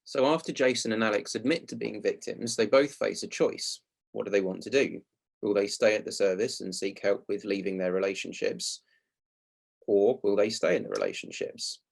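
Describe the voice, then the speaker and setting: Low, creaky-voiced man giving a talk. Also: animated, moderate pace. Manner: explanatory. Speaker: speaker presenting a play. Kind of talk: prepared talk.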